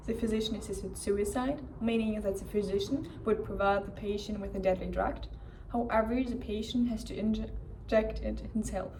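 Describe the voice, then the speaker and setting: A young woman from Germany, a law student, clearly reading out definitions in a prepared presentation. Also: mid-range voice. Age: young adult. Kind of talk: read-aloud presentation. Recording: distant mic with faint noise.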